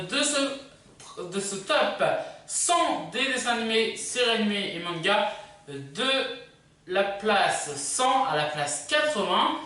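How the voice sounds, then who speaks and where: Animated high-voiced man in an echoey room. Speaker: video channel host. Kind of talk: casual countdown presentation to viewers. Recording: echoey room, distant microphone.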